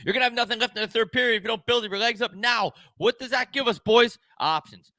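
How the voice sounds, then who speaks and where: Man acting out a forceful, demanding hockey coach. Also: very high voice, fast talker, dry recording, clean studio sound, heavily acted. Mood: barking, urgent. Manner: commanding, repetitive, motivational. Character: gruff, demanding male hockey coach driving his players. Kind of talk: one-man film reenactment.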